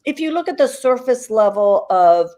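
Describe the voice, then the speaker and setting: A woman's voice: on the high side, animated, moderate pace, mellow. An older woman from the United States, speaking earnestly and reflectively as a guest on an online panel, with many fillers and restarts. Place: video call.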